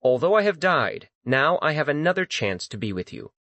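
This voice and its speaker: A man's voice: a little high, big pitch swings, normal speed, fairly bright tone, no echo, voice pushed up. A man voicing a male servant ghost in a dramatized audiobook, blustering or pleading with great urgency. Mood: desperate pleading. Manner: blustering, then pleading and servile. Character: panicked, cursing, groveling male servant ghost.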